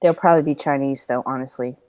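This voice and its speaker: A woman's voice: very deep voice, fairly even intonation, fast talker, a loud crowd. A woman who sings, speaking as a podcast guest in casual chat, blunt and crude and laughing often.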